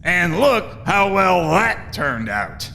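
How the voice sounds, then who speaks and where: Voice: medium pitch, very animated, takes it slowly, gravelly, bright, forceful tone, heavily acted. An actor in an audio drama playing a complaining villain sidekick who frets about an angry father. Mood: snide. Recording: long reverb, faint music behind.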